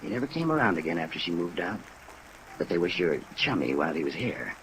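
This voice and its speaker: A chatty, slangy American man, an apartment manager in a 1950s radio serial. He gossips offhandedly in a deep voice. Faint rain noise runs under the old recording. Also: very animated, fast talker, a bit bright, rough recording. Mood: gossipy, offhand. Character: gossipy, folksy apartment house manager, middle-class American. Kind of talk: 1950s radio drama acting.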